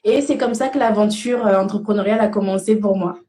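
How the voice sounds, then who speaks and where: A woman's voice: mid-range voice, quick pace, mellow, echoey room. A young woman from Senegal, an interview guest telling her own story in a casual, conversational way. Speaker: interview guest, cosmetics brand founder. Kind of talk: casual first-person storytelling.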